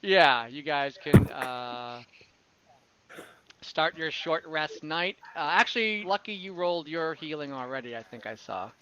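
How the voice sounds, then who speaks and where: A man's voice: on the high side, lively intonation, unhurried, pushed and bright, muffled recording, a little hiss or hum. A man running a casual online tabletop game as game master, informal and easygoing.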